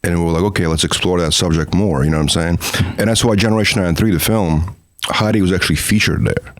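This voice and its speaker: A man's voice: deep voice, fast talker, no room sound. A middle-aged man, a documentary filmmaker guest, telling stories in a talkative way full of "you know what I mean.". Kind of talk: casual interview conversation.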